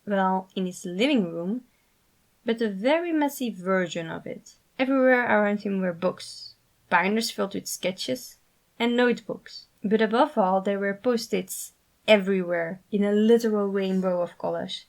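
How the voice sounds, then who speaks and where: Medium-pitched woman narrating a story. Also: normal speed. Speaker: writer and narrator.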